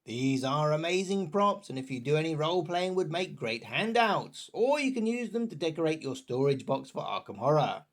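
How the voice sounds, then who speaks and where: A man's voice: on the high side, very animated, moderate pace, hardly any pauses. A man presenting a scripted review in a chatty, tongue-in-cheek manner with playful asides.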